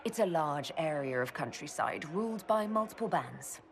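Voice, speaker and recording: A woman's voice: deep voice, expressive, moderate pace. An actress playing a curious, dryly witty woman leader who talks in a chatty, lightly teasing way.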